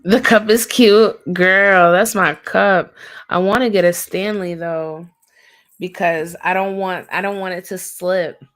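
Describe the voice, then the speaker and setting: A woman with a raspy, slightly hoarse voice, talking casually and in slang as she gives an intuitive reading on a livestream, often checking in with her listeners. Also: fairly low pitch, fairly even intonation, takes it slowly. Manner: slangy, conversational, often seeking agreement with "right?" and "okay?". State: hoarse, going easy on throat. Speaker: livestream tarot reader, host.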